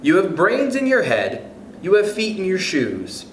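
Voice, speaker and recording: A young man reads a children's picture book aloud in a slow, gentle way, his fairly high voice rising and falling in a lively sing-song. He chuckles softly and turns to the young listeners with friendly asides. The room is echoey, with a faint hum behind him. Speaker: youth club storyteller.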